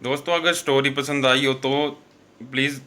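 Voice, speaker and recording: A man hosts a horror show and tells the tale in a loose, conversational style. His voice sits at an average pitch with a very sharp, forceful brightness. He leans on repeated filler phrases and often corrects himself as he goes. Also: fairly even intonation, moderate pace. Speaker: host and storyteller of a horror show. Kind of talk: informal horror storytelling narration.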